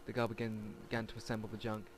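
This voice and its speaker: A man's voice: fairly low pitch, monotone, fast talker, a little hiss or hum. A man hosting a let's play, reading visual novel text aloud and stumbling over words now and then. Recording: game music behind the voice. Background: game music.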